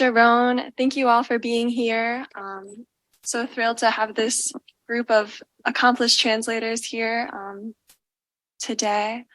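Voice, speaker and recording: Woman moderator, slightly high voice, friendly with "um" fillers, dry close sound. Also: fairly bright tone, no echo. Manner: friendly, fills pauses with "um". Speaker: panel moderator. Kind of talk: moderating a panel. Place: university symposium event.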